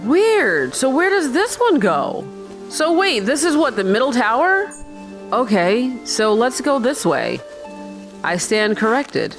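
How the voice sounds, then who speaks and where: A woman's voice: a little high, very animated, takes it slowly. Chatty woman doing let's-play commentary. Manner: chatty, joking, sarcastic asides. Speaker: gaming video host. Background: game music faintly behind the voice.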